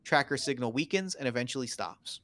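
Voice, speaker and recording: A man's voice: mid-range voice, animated, a little fast, a bit bright. Man reading terse screenplay directions. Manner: terse, clipped phrases. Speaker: narrator reading stage directions. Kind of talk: dramatic screenplay narration at a table read.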